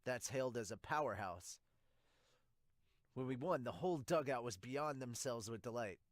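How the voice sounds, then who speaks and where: A man voicing a polite, chatty young baseball player recounting events in a lively amateur manga dub. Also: medium pitch, normal speed, no room sound, clean studio sound, lightly acted.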